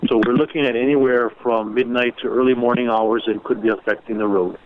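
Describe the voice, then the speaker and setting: A man's voice: medium pitch, fairly even intonation, very noisy. Man briefing over a tinny phone line. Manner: measured, halting, many fillers and restarts. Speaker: civil defense official briefing media. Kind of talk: informal media briefing on a conference call.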